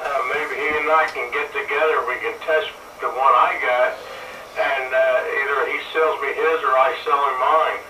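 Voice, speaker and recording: A man chatting casually over CB radio in a rambling, halting way. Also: mid-range voice, fairly even intonation. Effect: CB radio transmission. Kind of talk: casual two-way radio chat. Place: voice heard over CB radio with clear static noise. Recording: distant, echoey, noisy CB radio transmission.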